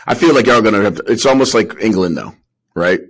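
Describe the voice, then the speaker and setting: Low-voiced man in casual sports discussion. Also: quick pace, slightly rough. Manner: slangy, questioning, thinking aloud. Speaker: sports podcast co-host. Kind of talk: casual sports chat, analysis.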